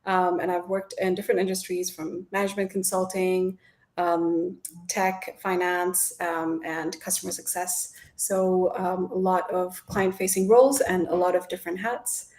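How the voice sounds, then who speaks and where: A woman's voice: fairly low pitch, level tone, moderate pace, darkish tone. Chatty woman in an echoey room. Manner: chatty, laughs easily, many fillers. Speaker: guest. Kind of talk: panel discussion. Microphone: video call. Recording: echoey room on a video call.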